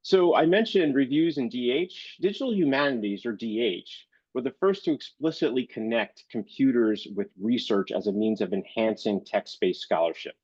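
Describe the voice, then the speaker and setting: A man's voice: medium pitch, darkish tone, no room sound. A man giving a library presentation while congested from illness, hesitant with many fillers. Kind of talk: online presentation. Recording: dull, dry video-call audio.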